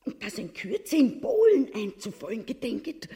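A creaky, scornful Viennese tyrant's wife. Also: female voice, a little high, very animated, normal speed, warm tone. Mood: gloating. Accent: Viennese Austrian. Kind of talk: grotesque comic stage farce acting.

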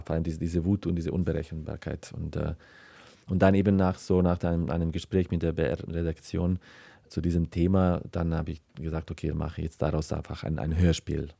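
Casting: male writer interviewee, Balkan accent, very mellow low voice, searching phrasing, dry studio sound. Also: fairly even intonation, gravelly, dry recording. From former Yugoslavia. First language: South Slavic language of former Yugoslavia. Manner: halting, searching phrasing with repeated words. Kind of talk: reflective interview talk.

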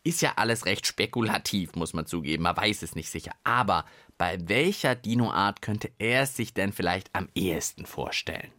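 A man's voice: sits low, animated, normal speed, pushed and bright, no room sound. A man co-hosting a children's science show in casual, playful banter.

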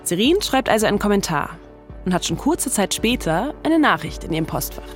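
A woman's voice: mid-range voice, sing-song, a little fast, soft background music. A woman in her thirties narrating a scripted documentary podcast as its host. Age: 30s.

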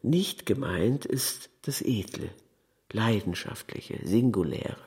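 A man's voice: lowish voice, lively intonation, slow pace, a little mellow, breathy edge. A man reading literary prose aloud as a scripted narrator.

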